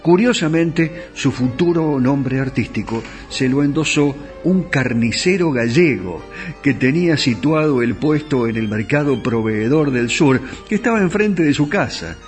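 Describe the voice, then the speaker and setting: A man's voice: mid-range voice, animated, measured pace, faint music behind. A middle-aged man with an Argentine accent hosting a radio show in a studio, telling tango history in a chatty way and asking his listeners questions. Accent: Argentine Spanish. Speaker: radio host. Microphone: studio microphone.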